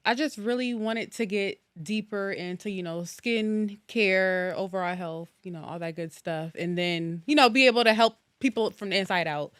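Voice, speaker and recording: A woman's voice: medium pitch, moderate pace, few pauses, fairly bright tone, clean tone, no echo. Candid woman guest, dry close sound. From Norwalk, Connecticut, USA. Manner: candid, chatty and matter-of-fact. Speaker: guest esthetician. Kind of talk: casual interview chat.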